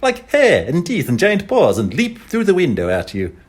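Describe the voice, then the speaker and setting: A man voicing a loud, methodical stranger in an audiobook who questions people as if working down a list. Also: a little high, wildly swooping pitch, moderate pace, breathy edge, voice pushed up, exaggerated voice.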